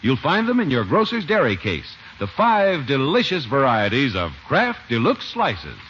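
A man's voice: mid-range voice, wildly swooping pitch, moderate pace, over loud music. An American man announcing from a script in the polished sales style of 1950s radio. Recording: muffled vintage radio recording.

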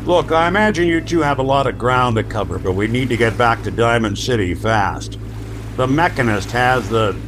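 A man plays a wry, streetwise private eye in a noir radio play. His voice is mid-pitched and world-weary, with faint ambience behind it. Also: lively intonation, moderate pace, noisy background, close to an everyday voice. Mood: businesslike. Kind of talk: noir radio drama acting.

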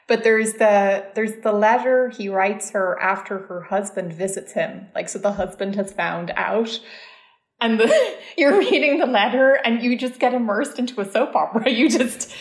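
A woman working as a dance archive curator, telling animated stories in a casual conversation and laughing often as she goes. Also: mid-range voice, echoey room. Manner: animated storyteller, laughs often, restarts phrases.

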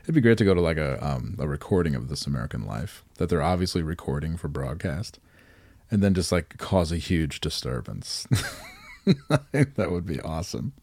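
A man's voice: rumbling low, lively intonation, fairly quick, a little mellow, slightly rough, no echo. A man co-hosting a podcast in casual chat, joking, laughing often and swearing. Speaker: podcast co-host.

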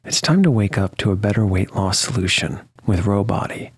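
A man's voice: lowish voice, a little fast, breathy edge, no room sound. A man delivering a scripted advertisement read as a spokesperson. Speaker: advertisement voice reader.

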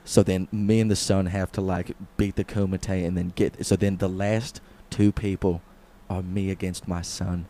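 Low-voiced young man rambling a goofy story. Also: level tone, normal speed, few pauses, warm tone, slight background noise. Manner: rambling, laughs a lot, swears. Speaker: podcast co-host. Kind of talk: casual comedic chat.

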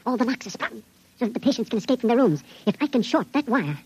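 Quick, high-voiced, determined tiny man. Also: big pitch swings, a little mellow, slightly rough, heavily acted. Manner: practical, urgent. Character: resourceful, determined five-inch shrunken man. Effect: sped-up, pitched-up tiny voice. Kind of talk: old-time radio drama acting. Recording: noisy, dull vintage radio recording.

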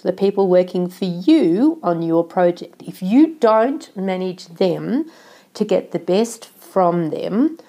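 A middle-aged woman from Australia hosting a solo podcast, sounding nasal from a cold, talking in a measured, explanatory way. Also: medium pitch, creaky, warm tone. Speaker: podcast host.

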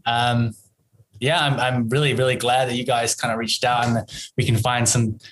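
A man's voice: mid-range voice, level tone, normal speed, a bit bright, low-quality recording. Aussie guy in his 30s, casual interview chat, rambly and restarting, bit of noise behind him. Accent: Australian. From Australia. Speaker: podcast guest, entrepreneur. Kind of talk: casual conversational storytelling.

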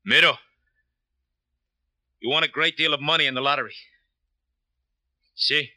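A man's voice: fairly high pitch, unhurried, pushed and bright, no room sound. An actor playing a vengeful barrio man in an old radio crime drama, accusing and menacing. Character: vengeful man from the barrio avenging his murdered brother. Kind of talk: 1940s-50s radio crime drama acting.

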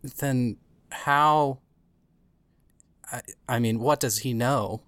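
A woman playing an anxious female adventurer with a halting, hesitant delivery. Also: a bass voice, a bit bright, no echo, heavily acted.

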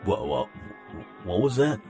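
A man's voice: mid-range voice, animated, unhurried, gravelly, over loud music. A man playing an exasperated, sarcastic holidaymaker, grumbling incredulously in a comic audio drama.

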